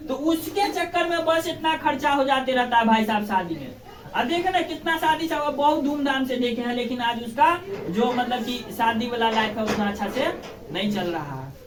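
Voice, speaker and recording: A woman teacher with a fairly high voice and a Bihari accent, recorded in a very echoey room a little away from the microphone. She speaks colloquially, urging her pupils on with rhetorical questions and frequent tag questions to check they understand. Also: far from the mic. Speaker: school history teacher. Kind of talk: informal classroom lesson with rhetorical questions.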